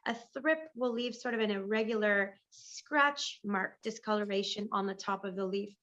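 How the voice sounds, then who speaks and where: A woman recovering from a lost voice, so it squeaks now and then, speaking enthusiastically and off the cuff on a conference panel over a video call. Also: moderate pace, a bit bright, highs cut off. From Canada. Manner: enthusiastic, chatty, improvising. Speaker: panelist, pest management business owner.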